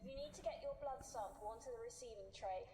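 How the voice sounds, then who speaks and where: Composed game guide, deep in reverb. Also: female voice, quick pace. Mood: composed, approving. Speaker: video game character. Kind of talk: voice acting in a horror game. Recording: echoey, long reverb, noisy game audio.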